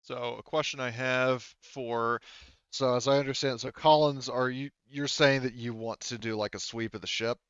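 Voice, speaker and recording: A man running a tabletop roleplaying game as game master, talking haltingly as he thinks aloud. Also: mid-range voice, fairly even intonation, a bit bright, smooth, no room sound, highs cut off.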